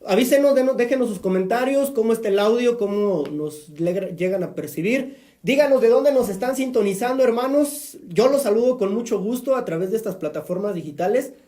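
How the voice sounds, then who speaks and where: A Mexican priest hosts a live-streamed faith program, talking at length in a chatty, preaching way with joking asides. His voice is mellow and dark. The sound bounces around an echoey room. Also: sits high, animated, brisk. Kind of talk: live-streamed religious talk show.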